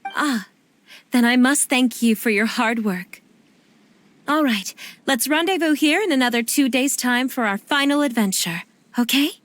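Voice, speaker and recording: A woman playing a gentle, courteous storyteller in a video game, with formal, measured phrasing. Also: high voice, no room sound. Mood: warm, polite.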